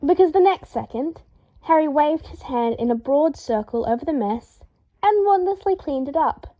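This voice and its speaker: Female fiction narrator, fairly high register, animated read, slightly muffled home-recorded sound. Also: normal speed. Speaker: podfic narrator. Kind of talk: expressive scripted fiction narration.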